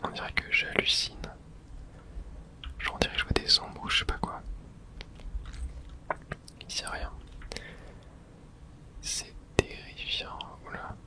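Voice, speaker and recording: A man's voice: measured pace, long pauses, poor audio. Whispering man, ASMR gamer. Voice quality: breathy unvoiced whisper. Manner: hushed, thinking aloud in short remarks. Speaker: video game streamer. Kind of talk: whispered ASMR game commentary.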